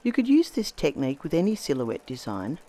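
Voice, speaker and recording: A woman hosting a textile art demonstration, with a slightly croaky voice from laryngitis, speaking in a measured, gentle and enthusiastic way. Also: low voice, wildly swooping pitch. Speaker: textile artist host. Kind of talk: scripted demonstration narration.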